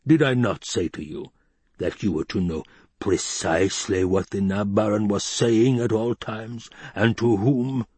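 A man's voice: on the low side, a little mellow, breathy edge, no echo. A man voicing a menacing, silken-voiced baron. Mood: silken menace. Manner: slow, silken menace broken by roars. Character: menacing obese male baron, silken then roaring.

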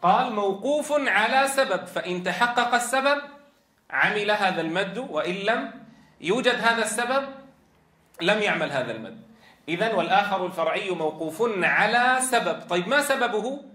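A man with a Levantine accent and a congested, tired voice, teaching a recitation lesson with careful, deliberate enunciation. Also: high-pitched, expressive, sharp tone. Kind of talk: lesson explaining a memorised verse text. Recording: echoey room reverb.

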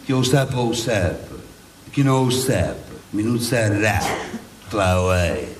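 A man's voice: on the low side, very animated, slow pace, a crowd in the background. A man playing a boastful, needling friar saint in a satirical stage play, declaiming with sarcastic, mock-courtly flourishes. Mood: gloating. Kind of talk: theatrical satirical stage monologue and debate. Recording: echoey stage, distant mic, faint noise.

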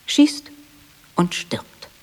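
A man's voice: extremely slow, a little hiss or hum. A man playing a matter-of-fact narrator who reads stage directions in a radio play.

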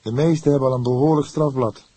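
A man voicing an indignant young male suspect in an audiobook, loud and heated. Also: mid-range voice, fairly even intonation, fast talker, breathy edge, no echo, pitched above the performer's own voice, close to an everyday voice. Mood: defiant.

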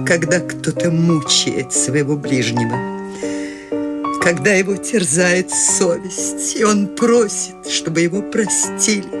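An actress playing an eccentric, free-spirited elderly woman in a studio radio play, tender and wistful. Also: on the high side. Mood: tearful. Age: older. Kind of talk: studio radio drama acting.

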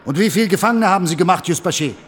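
An actor playing a sceptical general in a German radio drama, precise, controlled and authoritative. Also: male voice, high-pitched, animated, racing, a little hiss or hum. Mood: cool, commanding. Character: cool, sceptical commanding general questioning a subordinate.

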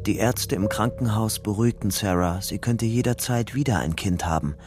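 Man acting a low-voiced weary ex-con over music. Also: moderate pace, natural voice. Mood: subdued, bleak. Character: weary young ex-convict on the run, haunted but stubbornly hopeful. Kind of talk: German crime radio drama, narrating and dialogue. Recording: music bed under the voice.

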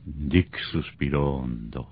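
Very deep, solemn horror narrator. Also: male voice, husky, full character voice. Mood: ominous. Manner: slow, solemn, ominous. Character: grave, sinister horror storyteller. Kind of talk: old radio horror narration. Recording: dull old radio recording. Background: music.

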